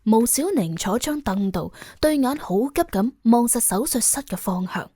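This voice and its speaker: Husky Cantonese woman narrating suspense. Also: on the high side, expressive, normal speed, dark tone, dry recording, studio quality. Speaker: audiobook narrator. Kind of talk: scripted suspense story narration.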